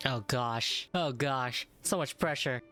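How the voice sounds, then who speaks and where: A woman's voice: rumbling low, takes it slowly, over music. A woman gameplay streamer giving casual reactions while playing a video game.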